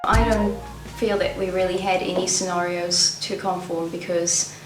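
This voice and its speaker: Flat-toned young woman from South Africa. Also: on the low side, normal speed, loud music behind the voice. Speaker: interviewee. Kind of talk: interview talk. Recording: echoey and distant, with faint noise.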